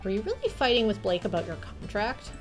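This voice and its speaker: A woman reads a plucky young heroine in a mystery game, determined and a little dry. Her pitch is mid-range, and music plays behind her. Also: big pitch swings, fairly quick, natural voice. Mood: calm.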